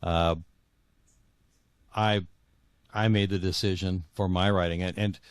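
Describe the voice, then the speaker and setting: A middle-aged man answering as a guest author in a conversational interview, earnest and halting, often repeating words as he thinks. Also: deep voice, fairly even intonation, clean tone, no echo, clean studio sound.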